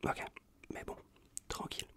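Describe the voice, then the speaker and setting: A man with a French accent from France whispers a casual, reassuring ASMR roleplay as a caring survivor tending a wounded stranger, breathy and close to the microphone. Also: a little slow, sharp tone. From Bordeaux region, France. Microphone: close Blue Yeti microphone.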